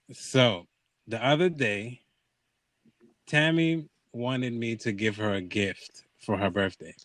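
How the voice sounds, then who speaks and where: A man's voice: lowish voice, fairly bright tone, dry recording. A man hosting a podcast, telling a personal story in casual conversation, acting out quoted dialogue and checking in with his listeners.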